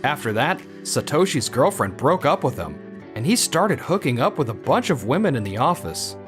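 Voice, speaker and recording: A man's voice: medium pitch, sing-song, moderate pace, a bit bright, soft background music. A man playing an earnest, modest young office worker in a manga dub, with a sincere, humble manner. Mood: calm, content. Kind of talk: manga dub narration and dialogue.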